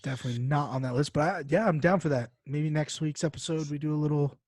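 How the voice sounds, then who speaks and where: A man's voice: a little high, fairly even intonation, fast talker, darkish tone, dry recording, clean studio sound. A man co-hosting a podcast in casual, slangy chat, with frequent fillers as he talks through lists and figures. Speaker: podcast co-host.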